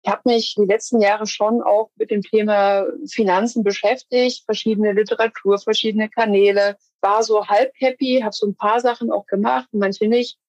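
A woman's voice: mid-range voice, darkish tone, no echo. Female interviewee, muffled lo-fi sound, dry, casual and enthusiastic. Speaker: course graduate.

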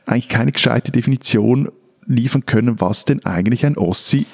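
A Swiss man co-hosts a casual discussion with a wry, deliberate manner. His voice has a gravelly edge. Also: mid-range voice. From Switzerland. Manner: wry, joking, then earnest and deliberate. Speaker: podcast co-host.

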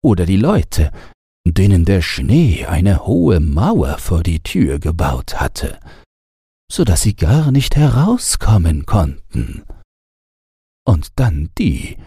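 A man reading a fairy tale as a bedtime audiobook, in a gentle storytelling manner with deliberate pauses. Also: lowish voice, breathy edge, no room sound, clean studio sound. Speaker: audiobook reader.

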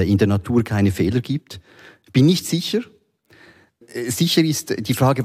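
A male teacher speaks from the audience, pausing often with filler sounds. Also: medium pitch, dark tone. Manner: frequent "äh" fillers. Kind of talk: audience comment. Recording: reverberant hall.

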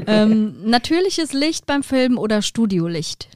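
A woman's voice: fairly high pitch, measured pace, no room sound. Upbeat woman hosting a podcast, dry sound. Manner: upbeat, lively welcoming tone. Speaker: podcast host.

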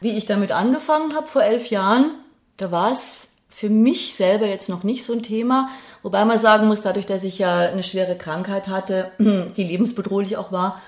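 A woman's voice: mid-range voice, normal speed, a little mellow, reverberant. A middle-aged woman, talking reflectively in an interview and searching for words as she goes. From Bavaria, Germany. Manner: thoughtful, searching for words, seeks agreement with short tag questions. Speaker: interview guest, singer and grief singing-circle leader.

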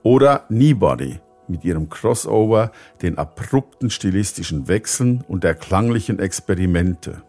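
Low-voiced man presenting a podcast. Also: animated, moderate pace, hardly any pauses, mellow, faint music behind. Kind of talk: scripted narration, music review.

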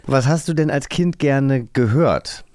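A man's voice: mid-range voice, a little slow, a little mellow, no room sound. A man with a German accent hosting a podcast, chatting casually and putting questions to his guest. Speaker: podcast host, interviewer. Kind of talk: casual chat.

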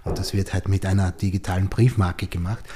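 Male podcast host, Austrian, low and mellow, thoughtful, hesitant phrasing. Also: fairly even intonation, fairly quick, slightly rough. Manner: hesitant, repeats words while formulating thoughts. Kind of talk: reflective podcast conversation.

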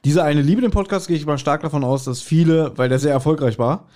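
Male podcast host, fairly high voice, joking and rambling in relaxed conversation. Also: quick pace. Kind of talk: casual chat.

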